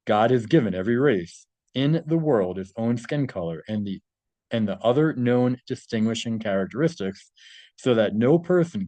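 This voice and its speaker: A man's voice: fairly low pitch, a little mellow, no echo. A man reading a translated article aloud and adding his own informal commentary.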